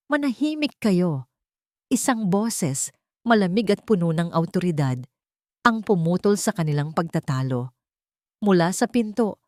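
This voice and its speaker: A woman's voice: lowish voice, darkish tone, no room sound, studio quality. A woman storyteller reading a scripted, dramatic story narration.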